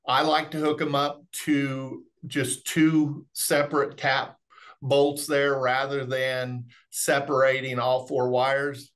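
A man's voice: medium pitch, fairly bright tone. A middle-aged man presenting webinar technical training in a folksy, explanatory way. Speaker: remote monitoring specialist presenting product training. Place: indoors, on a video call.

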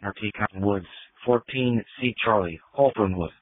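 A man's voice: fairly low pitch, moderate pace, no room sound. A man working as an emergency dispatcher, reading fire and EMS calls over a two-way radio in clipped, formal phrasing. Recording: phone-like fire radio transmission.

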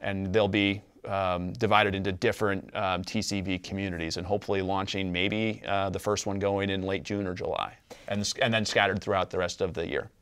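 A man in his forties speaks with a smooth, lowish voice in a dry studio. He is an interview guest, laying out his points in an earnest, orderly way and restating them as he goes. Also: flat delivery, fairly quick, fairly bright tone, dry recording. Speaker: business co-owner, interview guest. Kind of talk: explanatory interview talk. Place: small TV studio.

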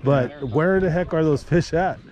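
Upbeat host filming by the water, fairly high voice, crowd chatter around him. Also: male voice, a little slow. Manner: upbeat, joking, hyped. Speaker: fishing tournament host filming. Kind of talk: casual chat on a dock. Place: outdoor lake dock with many people. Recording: outdoor crowd chatter on a dock.

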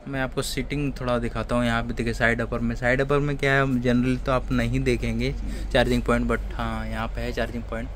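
A man's voice: little pitch movement, fast talker, over music. A man, chatting casually as a travel vlogger on a handheld phone inside a train compartment. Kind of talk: casual vlog chat mixing Hindi and English. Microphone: handheld phone at arm's length. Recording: noisy train carriage with vehicle rumble.

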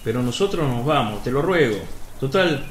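A man's voice: mid-range voice, animated, normal speed, lightly acted. Man acting as agitated disciple, roomy sound. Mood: agitated, pleading. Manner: pleading, dramatic storytelling. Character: indignant, agitated disciple Judas pleading with his master. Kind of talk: religious radio drama acting. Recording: roomy, with noisy outdoor ambience.

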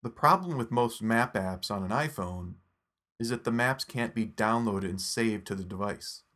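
Guy doing a step by step app walkthrough, kinda low voice, close mic voice-over. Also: moderate pace. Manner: steady, instructive step-by-step delivery. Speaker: app tutorial presenter. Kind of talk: scripted screen-recording tutorial narration.

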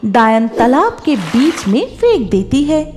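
A woman narrates a children's tale in a high voice with lively swings of pitch. She reads the script slowly as an expressive storyteller, over music.

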